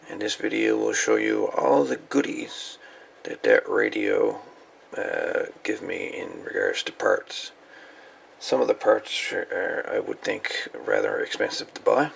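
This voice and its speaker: A man giving a casual hands-on show-and-tell as a hobbyist, in a folksy, rambling, halting way. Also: lowish voice, vocal fry, a little hiss or hum. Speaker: radio hobbyist presenting salvaged parts.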